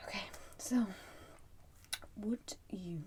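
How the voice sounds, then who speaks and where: A woman whispering softly and breathily in an ASMR roleplay, pausing often as she addresses the listener as a customer. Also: medium pitch, noisy background. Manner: slow, pausing, unhurried. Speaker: ASMR performer playing a soup shop keeper.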